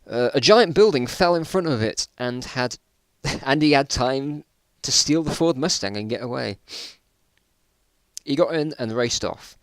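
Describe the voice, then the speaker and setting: A man's voice: medium pitch, expressive, measured pace, no echo. British man, amused and dramatic, dry close sound. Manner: amused, incredulous, breaking off to comment. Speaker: reader of his own old school stories. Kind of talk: informal dramatic reading with mocking asides.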